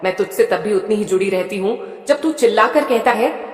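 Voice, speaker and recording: Soft motherly goddess done by a woman, soothing and close, talking straight to you, big echoey hall sound. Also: medium pitch, expressive, normal speed, lightly acted. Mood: consoling. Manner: soothing, intimate second-person address. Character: tender motherly goddess speaking to a grieving devotee. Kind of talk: devotional monologue. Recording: echoey with long hall reverb.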